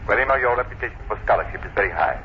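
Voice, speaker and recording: A man's voice: lowish voice, expressive, rapid-fire, natural voice. A man acting a stern, indignant college president in an old radio drama, formal and severe. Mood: accusing. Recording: dull, hissy old radio recording.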